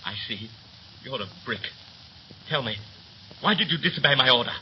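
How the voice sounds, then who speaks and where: An actor playing a brisk, bossy young ship's officer in a 1930s radio play, with a clipped, commanding, theatrical manner. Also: male voice, medium pitch, expressive, close to an everyday voice. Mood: questioning, intense. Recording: muffled, noisy 1930s radio recording.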